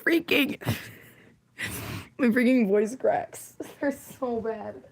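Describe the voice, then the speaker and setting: Woman streamer, hoarse and losing her voice, creaky, unhurried delivery, giggly casual chat. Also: on the high side. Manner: rambling, giggly, full of fillers. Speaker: livestreamer.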